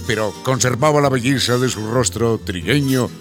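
A man's voice: mid-range voice, moderate pace, close to an everyday voice. A man narrating a radio serial as a scripted, dramatic storyteller. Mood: storytelling. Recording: music under the narration.

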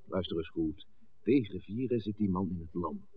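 Male, insistent small-town plotter, slightly deep and rough-edged, tinny vintage radio drama. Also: normal speed. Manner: blunt, insistent. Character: brash, confident male village conspirator, impatient and persuasive. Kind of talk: 1960s Dutch radio play acting. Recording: muffled, narrow-band old radio recording.

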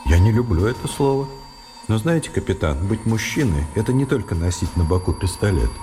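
Fatalistic man, very animated pitch, agitated, rhetorical, radio drama, faint music behind. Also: a little fast. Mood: bitter, defiant. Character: excitable fatalistic man, blunt with rivals, tender with women. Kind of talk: Russian radio play acting.

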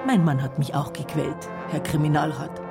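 An actress playing a sly, unrepentant widow under suspicion in a radio drama, speaking with a Bavarian accent in a dry, unflustered way. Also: female voice, fairly even intonation, moderate pace, over music. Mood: cool defiance. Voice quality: creaky, low-pitched. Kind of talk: naturalistic radio drama dialogue.

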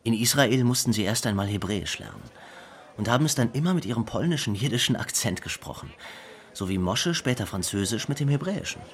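A man's voice: medium pitch, lively intonation, a little fast, natural voice. An actor playing a grown man who reflects on his late brother in a plainly told radio drama monologue. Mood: reflective, wistful. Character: grown younger brother fondly recalling his famous late brother.

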